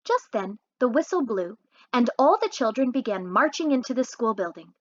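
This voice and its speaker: A woman reads a children's story with lots of expression. Her voice sits a little high and swings widely in pitch. She is animated, like a storyteller. The recording sounds a bit dull and lo-fi. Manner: animated, pauses to ask listeners questions. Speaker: read-aloud host, storyteller.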